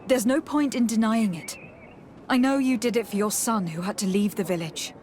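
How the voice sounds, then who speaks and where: Woman acting a young noblewoman diplomat, video game dialogue, calm, formal, firm, medium pitch, faint noisy ambience. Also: moderate pace, natural voice. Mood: firm, pressing.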